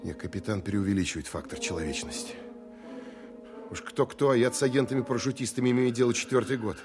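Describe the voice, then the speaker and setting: An actor playing a cynical, irritated wartime counterintelligence officer thinking aloud in a colloquial, grumbling way in an audio drama. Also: male voice, mid-range voice, fast, music in the background, close to an everyday voice. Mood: irritated, sardonic. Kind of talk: Russian audio drama interior monologue.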